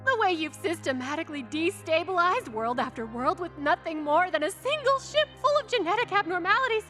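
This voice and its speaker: An actress playing an obsessive, lustful mad inventor villainess in a video game, gushing and theatrical with a seductive, manic manner. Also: female voice, very high-pitched, lively intonation, a little fast, fairly bright tone, soft background music. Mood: admiring, gushing.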